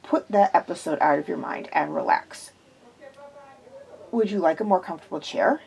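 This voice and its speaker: A woman voicing a soothing middle-aged man, an art expert, in a measured tone. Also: on the low side, lively intonation, creaky, mellow, voice pushed down, rough recording.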